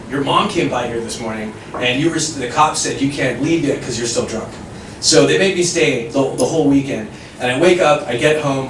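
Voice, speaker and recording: American stand-up guy, pretty high voice, far off mic in an echoey club, animated self-mocking story. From United States. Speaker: stand-up comedian. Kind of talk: stand-up comedy set. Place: comedy club stage. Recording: echoey room, distant mic, audience noise.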